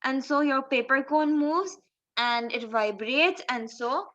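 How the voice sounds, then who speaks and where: A woman's voice: high-pitched, takes it slowly, fairly bright tone. A woman teaching in an explanatory tutorial style, going step by step and posing questions as she explains. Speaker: physics teacher. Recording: dull lo-fi microphone sound.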